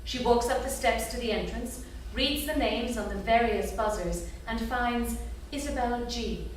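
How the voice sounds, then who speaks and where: A woman's voice: a little high, measured pace. A woman reading scripted stage directions as the narrator of a staged reading. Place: theatre stage before an audience. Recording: echoey theatre, distant, faint noise.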